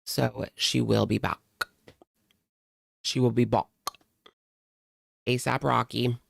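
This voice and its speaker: A woman with a gravelly, fry-heavy voice talks through casual reaction commentary. She is sassy and slangy, and the sound is dry and close, with hardly any room on it. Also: extremely low pitch, level tone, takes it slowly, no room sound. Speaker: reaction channel host.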